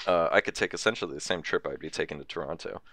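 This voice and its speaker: Very deep gravelly man in casual chat. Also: quick pace, a crowd in the background. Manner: loose, joking, swears casually. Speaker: podcast co-host.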